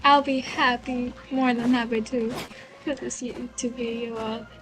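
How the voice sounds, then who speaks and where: A woman's voice: a little high, level tone, unhurried, over music, low-quality recording. A woman giving casual gameplay commentary as a game streamer, in a gushing, sentimental manner.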